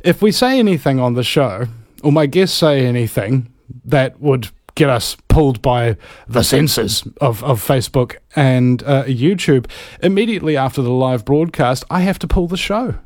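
Male talk radio host from New Zealand: casual, rambling, theatrical emphasis, mid-pitched, dry close sound. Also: a little mellow, no room sound. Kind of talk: casual live talk show monologue.

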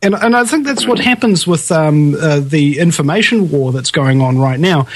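A man with a New Zealand accent and a husky voice, hosting a radio talk show in a casual interview style. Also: fairly high pitch, animated, normal speed, slight background noise.

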